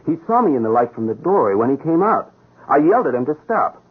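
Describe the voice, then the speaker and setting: An earnest police sergeant in a radio drama, troubled and plain-spoken. His husky voice moves expressively. The sound is thin and narrow, like an old radio broadcast. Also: on the high side, sing-song, fast. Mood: troubled, defensive. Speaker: actor in a radio mystery drama. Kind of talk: 1970s-style radio drama acting. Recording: tinny, band-limited old radio broadcast.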